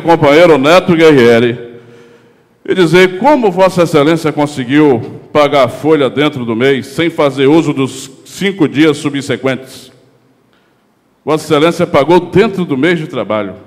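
Brazilian councillor in his forties, fairly high voice, formal lectern oratory, echoing chamber hall. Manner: oratorical, rhetorical questions, emphatic lists; gestures while speaking at a lectern. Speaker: city councillor. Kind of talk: formal political speech. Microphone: handheld gooseneck lectern microphone. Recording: long reverb of a chamber hall.

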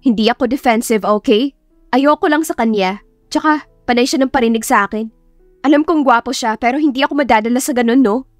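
Blunt, scolding young female teacher read by a woman, raised pitch, defensive romance heroine for audiobook. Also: a little fast, no room sound, faint music behind, natural voice. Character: prickly, easily annoyed young woman teacher.

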